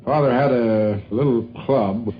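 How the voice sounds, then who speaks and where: A man's voice: medium pitch, a little slow, faint music behind. An older, educated man with an American accent, reminiscing hesitantly in a dull 1950s radio interview. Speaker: interviewee, university academic. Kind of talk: unscripted reminiscing.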